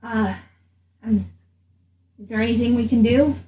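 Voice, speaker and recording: A woman's voice: on the high side, fairly even intonation, a little slow, dark tone. A woman playing a tabletop game, roleplaying in a casual, conversational way. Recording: roomy distant mic, faint hiss.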